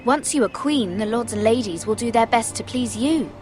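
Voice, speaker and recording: A woman's voice: fairly high pitch, lively intonation, moderate pace, a little mellow, soft background music, close to an everyday voice. A woman playing an earnest young noble handmaiden in a video game, speaking politely and carefully, with anxious deference. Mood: deferential. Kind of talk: cinematic video game voice acting.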